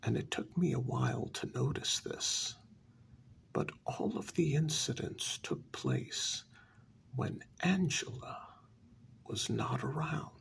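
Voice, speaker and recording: A man telling a story in a soft-spoken ASMR style, breathy and deliberate, with many dramatic pauses. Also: medium pitch, animated. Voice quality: breathy half-whisper with heavy creak. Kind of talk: soft-spoken ASMR story narration, first-person role-play.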